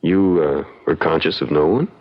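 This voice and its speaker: An actor playing a calm young frontier lawyer, measured, folksy and probing, in an old-time radio drama. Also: male voice, a bass voice. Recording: dull lo-fi radio drama recording.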